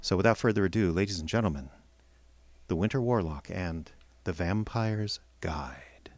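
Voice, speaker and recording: A man's voice: low voice, moderate pace. A man speaking as host and announcer, introducing a live show.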